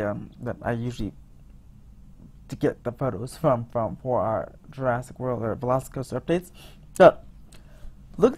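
A man giving a casual voice-over about construction photos, rambling with many restarts and fillers, sounding excited. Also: a little high. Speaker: theme park vlogger.

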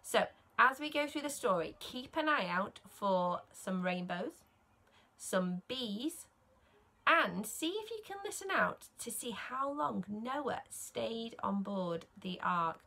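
Female children's storyteller, thirties, higher-pitched and very expressive, sing-song rhyming delivery. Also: vocal fry. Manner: slow, sing-song rhyming delivery with emphasis on key words. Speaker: children's author reading aloud.

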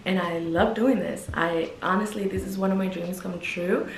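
A woman's voice: fairly low pitch, steady tone, moderate pace, gravelly, a little hiss or hum. A woman in her twenties from Guatemala, speaking, chatting casually and upbeat in a Q&A vlog from her bedroom. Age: 20s. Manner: chatty, upbeat, lots of "like" and "you know". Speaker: YouTuber. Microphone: camera at medium distance. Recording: echoey room, faint music.

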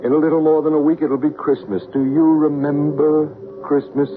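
An actor playing a calm, reassuring male psychic investigator in a radio drama, earnest and deliberate. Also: a little high, close to an everyday voice. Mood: coaxing, calm. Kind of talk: 1970s radio drama acting. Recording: tinny, hissy 1970s radio recording.

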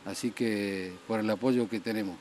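A middle-aged man with an Argentine accent giving halting, spontaneous interview answers. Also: lowish voice, steady tone, darkish tone, soft background music. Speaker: interviewee at livestock show.

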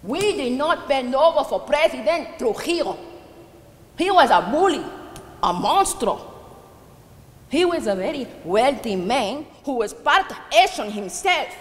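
A woman's voice: medium pitch, big pitch swings, a little slow, long pauses. A woman performing a theatrical monologue as an old, proud and sorrowful Dominican immigrant widow with a Dominican Spanish accent, confiding her story hesitantly with emphatic swells. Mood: indignant, bitter. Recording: roomy reverberant hall with background noise.

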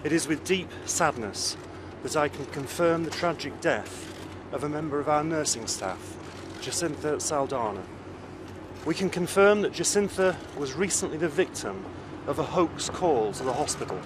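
A man's voice: on the high side, lively intonation, normal speed. Middle-aged British man reading formal statement outdoors. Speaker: hospital chief executive, spokesman. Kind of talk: formal prepared statement read to press. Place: outdoors on a city street before press. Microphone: cluster of press microphones. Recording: clear outdoor street noise.

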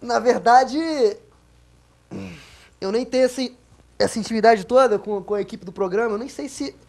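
A man hosting comedy segments in an irritable, sarcastic manner. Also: extremely high pitch. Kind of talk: sketch comedy. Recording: music underneath.

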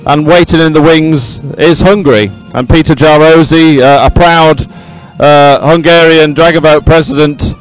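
A man's voice: on the high side, fairly even intonation, normal speed, hardly any pauses, clean tone, soft background music, low-quality recording. A man giving live, ad-libbed sports commentary in a chatty, rambling, enthusiastic way.

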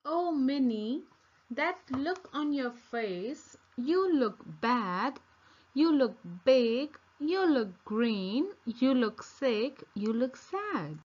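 Woman reading as a slow-spoken, insistent little boy monkey. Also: sits high, expressive, darkish tone, highs cut off, heavily acted. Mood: concerned, scolding. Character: young male monkey, pleading, exasperated playmate. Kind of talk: children's storybook read-aloud.

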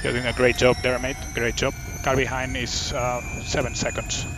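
A man's voice: mid-range voice, level tone, moderate pace. A man working as a race engineer, giving brief updates and repeating key calls over team radio. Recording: noisy race radio, vehicle noise behind.

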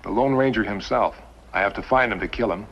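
An actor playing a hard, impatient outlaw killer in a 1950s TV western. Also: male voice, lowish voice, fairly quick, creaky, breathy edge. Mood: impatient, sceptical. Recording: muffled 1950s film soundtrack.

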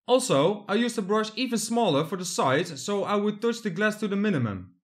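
Young man, high-pitched, from the Netherlands; plain step-by-step voice-over. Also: sing-song, fairly quick. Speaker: hobby builder narrating his project. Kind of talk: scripted voice-over narration.

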